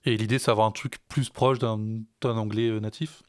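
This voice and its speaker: A man's voice: lowish voice, steady tone, a little fast, no room sound, studio quality. A French man, a music teacher and songwriter, chatting in a casual podcast and hesitating with many fillers and restarts.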